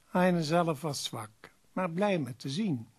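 The polite, canny visiting publisher of a period radio play, husky and unhurried as he does business at a bedside. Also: on the high side, lively intonation, full character voice. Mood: measured narration. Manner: measured, businesslike. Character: courteous, shrewd male publisher visiting sick author. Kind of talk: radio drama narration and dialogue.

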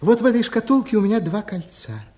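An actor playing a wise, solemn doctor-magician in a fairy-tale audio play, courteous and formal. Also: male voice, high-pitched, expressive, quick pace, warm tone. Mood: grave, measured.

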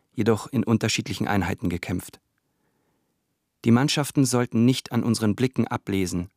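An actor playing a reserved, grieving career army officer in a radio play monologue, speaking in a measured, formal way. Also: male voice, fairly low pitch, darkish tone, no room sound, clean studio sound, lightly acted. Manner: measured, restrained, formal diction.

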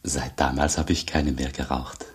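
An actor in a German radio play, a man playing a humble, earnest elderly monk who speaks in a hushed, very husky voice. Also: a bass voice, level tone, moderate pace, full character voice. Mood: quiet, moved. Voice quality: very husky, breathy.

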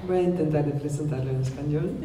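A woman's voice: low voice, fast talker. A woman with a slight Argentine accent presenting at a seminar, speaking carefully and hesitantly. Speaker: guest presenter. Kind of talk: seminar talk. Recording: distant mic in an echoey hall, background noise.

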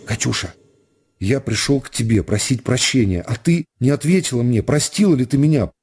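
A man voicing a remorseful gentleman in a literary radio reading, speaking in an earnest, halting, pleading way. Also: mid-range voice, slightly rough.

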